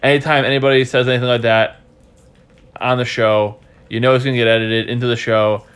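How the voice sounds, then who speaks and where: A man's voice: mid-range voice, flat delivery, fairly quick, fairly bright tone, clear, resonant tone. A stuffy, congested man hosting a casual podcast recap, rambling and repeating himself as he thinks. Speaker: podcast host.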